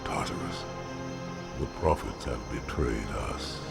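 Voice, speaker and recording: A noble alien warrior speaks with grave, measured authority in a video game. The voice is low and gravelly, set against loud music. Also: male voice, exaggerated voice. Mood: grave accusation. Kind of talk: dramatic video game acting.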